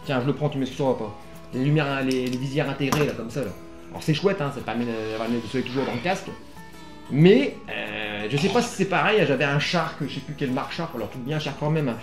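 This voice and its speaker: A man's voice: medium pitch, fast talker. A man hosting a casual motorcycle gear unboxing vlog, rambling along with bossy, teasing and coarse jokes. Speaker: vlog host. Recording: echoey room with music behind.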